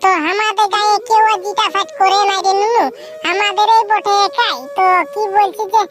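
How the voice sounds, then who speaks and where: A sassy, thrifty village woman from a comic cartoon. The pitched-up voice is extremely high and sharp-tongued, nagging and bargaining in a Purulia Bengali accent with clear background music. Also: flat delivery, fairly quick. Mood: pleading, thrifty.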